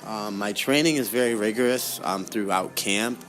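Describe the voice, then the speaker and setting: Low-voiced young man, casual interview guest. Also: moderate pace, fairly bright tone, soft background music, low-quality recording. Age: young adult. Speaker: interview guest, professional fighter.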